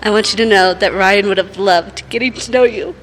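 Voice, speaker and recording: A woman giving a prepared, heartfelt wedding toast as a sister, in an earnest, measured way, at a reception. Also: medium pitch, steady tone. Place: wedding reception, speaking to guests.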